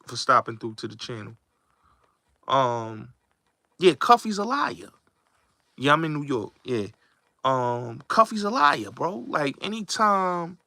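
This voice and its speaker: A man in his late twenties talks straight to his viewers, leaning on emphasis and saying the same points again and again. His tone is bright and forceful. The recording is dry and close, with no room sound. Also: mid-range voice, no echo. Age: late 20s. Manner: emphatic, repetitive, addresses viewers directly. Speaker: host. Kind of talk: casual livestream commentary. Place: New York.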